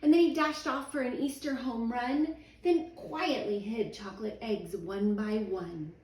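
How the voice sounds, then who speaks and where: A woman, a teacher in her thirties, reading a children's picture book aloud at home in a sing-song, expressive way. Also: fairly high pitch, unhurried, slight background noise. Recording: echoey room, voice a little far from the mic.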